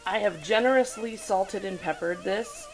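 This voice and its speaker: A woman's voice: medium pitch, normal speed. A woman filming herself at home as she cooks, explaining each step in a chatty, instructive way with many fillers, recorded on a handheld camera in her kitchen. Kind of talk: casual cooking demonstration. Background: food sizzling in a pan.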